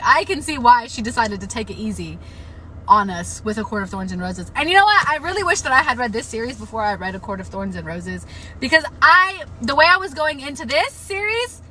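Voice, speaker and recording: A young woman talks loosely and frankly about books on her live stream, swearing freely and filling her speech with "like." Her voice is bright and edgy but worn a little hoarse. A faint noise sits under the recording. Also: on the high side, poor audio. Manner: chatty, frank, swears freely, many "like" fillers. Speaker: book livestreamer. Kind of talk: casual live-stream book chat.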